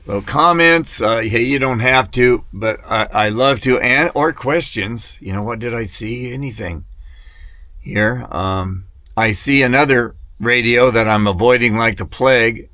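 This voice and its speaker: A man's voice: mid-range voice, unhurried, pushed and bright, no room sound, clean studio sound. A man chatting casually and hesitantly to viewers as a hobbyist vlogger, often wandering off mid-thought. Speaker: vintage radio hobbyist vlogger. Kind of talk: rambling casual product chat to camera.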